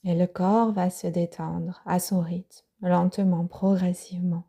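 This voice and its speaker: A woman yoga teacher guiding a yoga nidra relaxation, speaking softly with breathy, half-whispered phrases. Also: on the low side, level tone. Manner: slow, soft-spoken guidance with breathy, half-whispered phrases.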